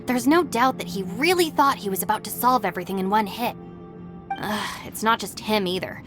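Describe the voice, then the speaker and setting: Sensible, weary young woman for a game, played by a woman: matter-of-fact, medium pitch, music underneath. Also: moderate pace, close to an everyday voice. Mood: exasperated, fond. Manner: matter-of-fact, long-suffering. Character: weary, sensible young female gang deputy. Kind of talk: game voice acting.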